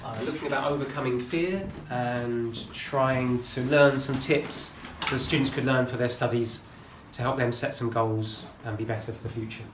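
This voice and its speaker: A man, an English teacher, explaining his class's lesson in a halting way with fillers. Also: medium pitch, fairly even intonation, noisy background. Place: classroom, indoors. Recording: echoey classroom, mic far off.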